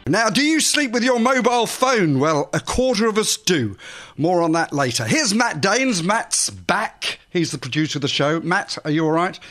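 A man in his sixties hosts a local radio morning show in a small studio. His voice sits a little high for a man, and his delivery is clear and chatty with light jokes. Soft music plays faintly underneath. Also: very animated, normal speed. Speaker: radio presenter. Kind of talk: radio show chat and behind-the-scenes tour. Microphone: studio microphone, headphones on.

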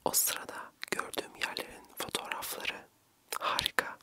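Young male ASMR vlogger, fully whispered narration, gentle and breathy, close-miked. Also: moderate pace. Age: young adult.

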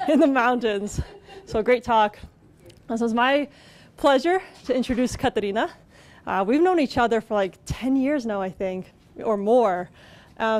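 A woman's voice: on the high side, a little mellow. A woman, a scientist, introduces the next speaker at a public talk in a chatty way full of fillers, using a handheld mic in a store event room. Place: event room at a store with a small seated audience.

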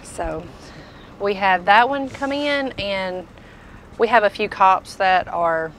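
A woman's voice: mid-range voice, takes it slowly, a bit bright, a little hiss or hum, poor audio. A woman, casually showing products as a tool dealer inside a packed truck. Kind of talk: casual product walk-through chat.

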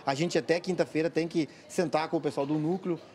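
A man's voice: fairly high pitch, fairly even intonation, brisk, a little mellow. A man in his forties with a Brazilian accent answering reporters at handheld mics in an open corridor. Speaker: school staff interviewee. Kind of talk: on-the-spot interview. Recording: faint outdoor ambient noise.

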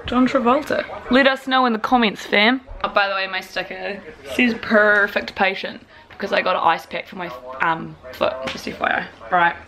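A young woman in her twenties with a raspy voice, chatting casually to a camera held at arm's length, full of "like" fillers. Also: medium pitch, steady tone, normal speed, crowd noise. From New Zealand. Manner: chatty, filler-heavy with frequent "like". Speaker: vlogger. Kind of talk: casual vlog talk to camera. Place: apartment living room and parked car.

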